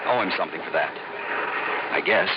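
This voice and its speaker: Heavy noise and a muffled old radio sound surround a troubled man who owns a boat. His voice is low, hesitant and confiding. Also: lively intonation, a little fast. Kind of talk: mid-century radio drama acting.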